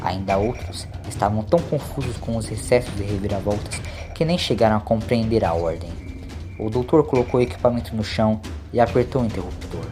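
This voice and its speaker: A man's voice: lowish voice, fairly quick, music in the background. A man narrating a scripted audio story in a dramatic storytelling style.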